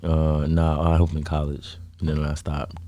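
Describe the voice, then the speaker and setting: Very deep, flat-voiced young man. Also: moderate pace, no echo. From South Holland, south suburbs of Chicago. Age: young adult. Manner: low-key, hesitant, many "um" and "like". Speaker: guest musician. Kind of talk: casual interview chat.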